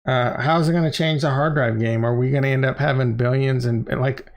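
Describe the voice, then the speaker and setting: A man's voice: medium pitch, fairly even intonation, fairly quick. A middle-aged man hosting a livestream, explaining things in an informal, slangy, conversational way and repeating phrases for emphasis. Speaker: crypto channel host and livestreamer. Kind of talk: casual, unscripted livestream explainer.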